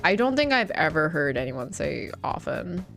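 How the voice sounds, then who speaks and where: A woman's voice: deep voice, very animated, moderate pace, creaky, music in the background. A young Canadian woman streaming a game, chatting casually with lots of fillers, restarts and laughter. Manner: rambling, many fillers and restarts, laughs often. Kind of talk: casual chat while gaming.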